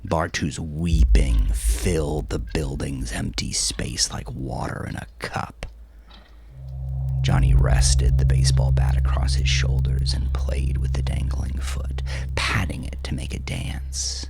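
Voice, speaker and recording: A man's voice: very deep voice, slow pace, gravelly, in a whisper, over loud music. A man narrating a scripted story in a dramatic style.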